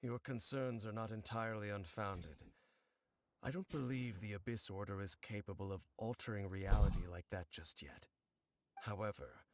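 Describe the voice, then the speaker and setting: An actor playing a grave, guarded male wanderer in a video game, speaking in a measured, formal way. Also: lowish voice, a little mellow, lightly acted. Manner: measured, formal, solemn phrasing. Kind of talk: English video game voice acting. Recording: game music behind the voice.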